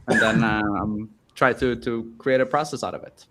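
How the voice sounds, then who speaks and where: A man from Norway, a coach and interview guest, speaking in a calm, measured, upbeat way. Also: fairly low pitch. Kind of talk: casual interview chat.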